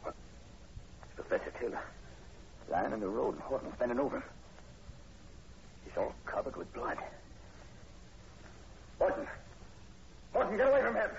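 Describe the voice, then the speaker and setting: An actor playing a terse, commanding police sergeant in old radio horror, authoritative and no-nonsense. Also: male voice, mid-range voice, huge pitch swings, normal speed, creaky, long pauses. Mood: urgent. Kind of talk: 1940s radio horror acting. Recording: muffled, faintly hissy old radio recording.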